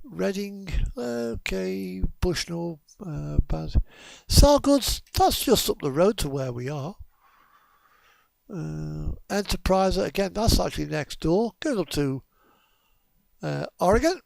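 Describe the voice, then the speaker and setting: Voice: mid-range voice, lively intonation, takes it slowly, vocal fry, darkish tone, no echo. A game streamer giving casual live commentary, thinking aloud and muttering through options.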